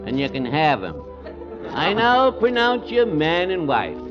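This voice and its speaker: A man's voice: on the high side, wildly swooping pitch, a little slow, lo-fi sound, music in the background. An actor playing a bumbling male judge who fumbles through a wedding with chuckles and hesitant "uh"s, in a 1940s radio comedy. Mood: flustered.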